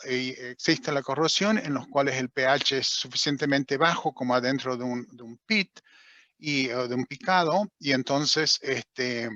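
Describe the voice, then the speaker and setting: A man's voice: medium pitch, sharp tone, dry recording, dull audio. A man giving a technical seminar lecture over slides, speaking Spanish with an accent that is not Argentine and hesitating often with fillers and restarts. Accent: non-Argentine accent in Spanish. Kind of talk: technical webinar talk with slides.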